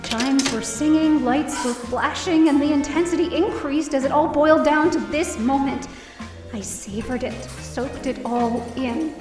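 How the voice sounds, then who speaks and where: A high, earnest female voice as a hopeful heroine down on her luck. She tells her story expressively, as in an audio drama. The sound is echoey and set back from the mic, with some music behind. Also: measured pace. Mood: reflective, hopeful. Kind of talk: first-person audio drama narration and dialogue. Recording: roomy echo, distant mic, music behind.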